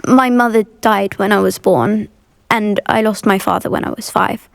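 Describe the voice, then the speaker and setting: A woman's voice: medium pitch, steady tone, normal speed, gravelly, dry recording, studio quality, lightly acted. A woman playing an earnest, well-spoken, anxious teenage schoolgirl witch with a British accent in a video game. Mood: worried, earnest. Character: anxious, polite teenage schoolgirl witch devoted to her uncle. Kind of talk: video game dialogue acting.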